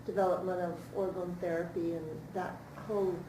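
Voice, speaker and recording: A woman asking a question informally from the audience, in halting, thoughtful phrases. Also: lowish voice, flat delivery. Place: indoor room at a post-reading talkback. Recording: noisy, echoey, distant room recording.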